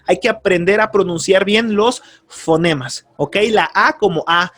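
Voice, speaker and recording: A man teaching a lesson on radio announcing, speaking earnestly and calmly, giving advice straight to his listeners and repeating key terms. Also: sits high, a little slow. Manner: earnest, advisory, addresses listeners directly. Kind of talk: spoken course lesson.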